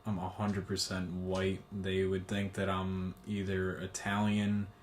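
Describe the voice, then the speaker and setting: A young man answering interview questions in a hesitant, measured way. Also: sits low, completely flat delivery, slight background noise.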